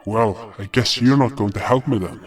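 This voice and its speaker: A man's voice: on the low side, moderate pace, faint music behind, lightly acted. An actor playing an indignant, impulsive frost guardian beast, telling his fantasy tale in a dramatic, grumbling manner. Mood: grave, grumbling. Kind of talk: first-person fantasy story narration and dialogue.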